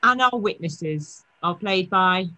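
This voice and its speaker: A woman's voice: lowish voice, animated, takes it slowly, bright, forceful tone, highs cut off. A middle-aged woman, a church minister, giving a formal welcome and introductions over a webcam from her home study.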